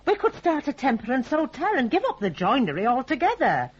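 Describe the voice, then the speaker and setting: An actress playing a scheming middle-aged mother in a radio drama, brisk and nagging, with a Northern English accent. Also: high voice, wildly swooping pitch, husky, full character voice. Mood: scheming, bossy. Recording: dull 1980s radio broadcast recording.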